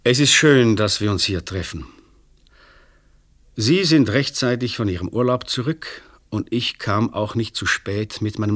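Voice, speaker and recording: A man's voice: on the low side, a bit bright. An actor playing a weary, disillusioned male examining magistrate in a German radio play, speaking formally and rhetorically. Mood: wry, melancholy.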